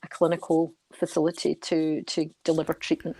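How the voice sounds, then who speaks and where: Low, even-voiced woman. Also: moderate pace, no echo, low-quality recording. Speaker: guest, senior nurse and charity committee member. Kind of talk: conversational interview answers.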